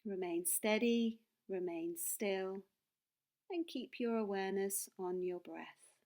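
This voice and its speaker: A woman guides a meditation at a very slow pace. Her voice sits at a medium pitch and she sounds calm and soothing. She delivers short, deliberate phrases with pauses between them. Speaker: meditation and yoga teacher.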